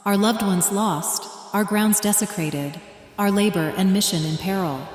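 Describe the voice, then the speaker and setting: A woman's voice: mid-range voice, natural voice. A woman playing a devout, fervent religious leader in an audio drama, with solemn, declamatory delivery. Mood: fervent, resolute. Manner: solemn, declamatory, deliberate oratory. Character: fervent, devout female religious leader rallying her people. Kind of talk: first-person audio drama narration with speeches and prayer. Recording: echoey hall reverb, ambient noise behind.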